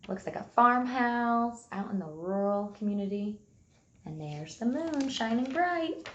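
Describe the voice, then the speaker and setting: A woman teacher reading aloud and giving a simple lesson to young children, enunciating key words deliberately. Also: a little high. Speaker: early elementary school teacher. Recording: echoey room sound.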